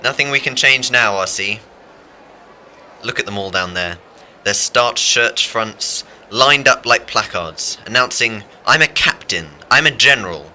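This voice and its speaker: A man's voice: fairly low pitch, lively intonation, a little slow, bright, forceful tone, slight background noise, full character voice. A man playing a dry, commanding, urbane theatre director in a radio play. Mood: solemn. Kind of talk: radio drama acting.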